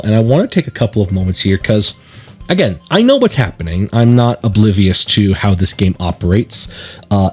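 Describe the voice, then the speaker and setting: A man's voice: fairly low pitch, slightly rough. A man hosting a let's play, chatting and explaining casually and reading game text aloud.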